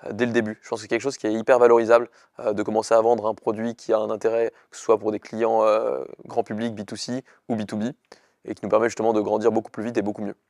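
A young man speaks quickly in short, hesitant bursts, as a founder interviewed on camera. His voice stays fairly monotone with a gravelly fry. It is recorded close and dry on a lapel mic in a studio. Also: on the low side, no echo. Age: young adult. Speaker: startup co-founder and chief executive. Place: indoor studio with dark backdrop.

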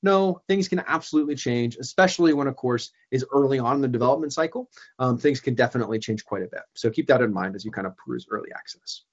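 A young man presenting a software training webinar over a video call, explaining in a casual way with many ums and uhs, and a bit hoarse from losing his voice. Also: mid-range voice, animated, quick pace, dull audio. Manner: casual, filler-heavy explaining. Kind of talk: screen-share software demo. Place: small room indoors. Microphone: video call webcam.

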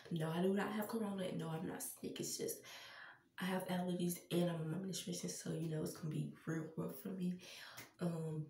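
A woman's voice: fairly low pitch, steady tone, brisk, mellow, slight background noise. A young woman vlogging casually to her viewers with a stuffy, congested nose, chatting as she gets ready. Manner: chatty, addresses viewers as y'all. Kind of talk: casual Halloween makeup vlog. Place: small tiled bathroom. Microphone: camera on a stand a few feet away. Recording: roomy bathroom echo, distant camera mic.

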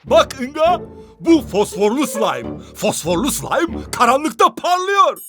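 A man's voice: very high voice, wildly swooping pitch, measured pace, loud music behind the voice, full character voice. A man voicing an eager, boastful, childlike robot friend in a children's cartoon, with theatrical exclamations. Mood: excited showing off. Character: childlike, excitable sleepy-lazy robot friend, male.

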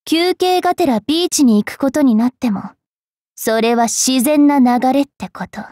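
A woman playing a cruel, wilful young female fairy knight in an anime game, with a haughty, taunting, rough-mouthed delivery. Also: sits high, normal speed, dark tone, dry recording, studio quality, lightly acted. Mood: cool, matter-of-fact. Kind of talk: anime mobile game voice lines.

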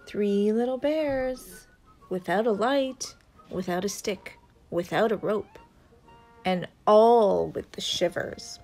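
A woman narrating a picture book aloud in a deliberate, suspenseful storytelling manner for young children. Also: mid-range voice, darkish tone. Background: music.

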